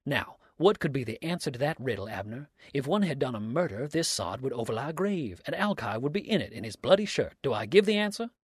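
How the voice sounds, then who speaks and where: A man's voice: medium pitch, lively intonation, moderate pace, a little mellow, no room sound, natural voice. A defiant villain from a storybook, acted out by a male reader, sneering and theatrical. He speaks in mocking, sarcastic exclamations, in a closely recorded, dry sound. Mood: taunting. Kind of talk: dramatic storybook reading.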